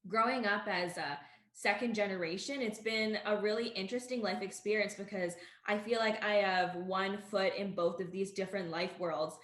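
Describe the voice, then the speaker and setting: A young Canadian woman co-hosting a casual chat, telling a family story in an earnest, steady way. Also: mid-range voice, moderate pace, reverberant. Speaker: co-host.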